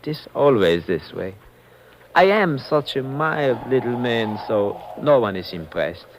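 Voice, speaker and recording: Noisy vintage radio, meek little villain. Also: male voice, medium pitch, sing-song, a little slow. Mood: plaintive mock apology. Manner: polite, plaintive, fussily menacing. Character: mild-mannered, meek-sounding, sadistic little villain, mock-apologetic. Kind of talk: 1950s radio drama acting. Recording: noisy old radio recording.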